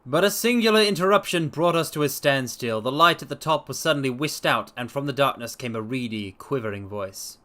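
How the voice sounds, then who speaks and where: A man's voice: medium pitch, a little fast, few pauses, fairly bright tone. A man streaming a dramatic reading, narrating a detective story and chatting casually with his audience.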